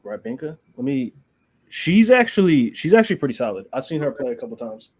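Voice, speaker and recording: A man co-hosting a casual sports talk show, relaxed and slangy, calling everyone bro. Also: mid-range voice, brisk, breathy edge. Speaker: podcast co-host.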